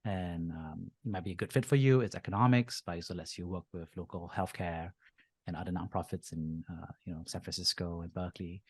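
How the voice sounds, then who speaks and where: Low-voiced man from Singapore, chatty. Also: fairly even intonation, fairly quick, slightly rough, no echo. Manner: frequent uh and you know fillers, easygoing. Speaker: guest, venture capitalist. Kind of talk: casual interview storytelling.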